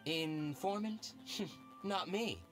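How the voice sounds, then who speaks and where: An actor playing a shifty, evasive thief posing as an informant in a video game, theatrical and hesitant. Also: male voice, high-pitched, extremely slow, music in the background. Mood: coy.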